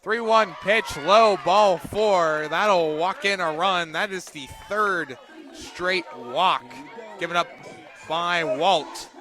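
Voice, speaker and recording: A man doing live play-by-play softball commentary for radio, with clipped, terse calls that lift on big plays. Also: high-pitched, slow. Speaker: play-by-play sportscaster.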